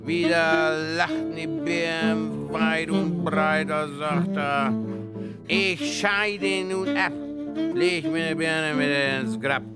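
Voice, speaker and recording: A man's voice: a little high, animated, slow pace. A man playing a singing storyteller, delivering a folksy ballad in an audio musical over music. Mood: wistful, playful.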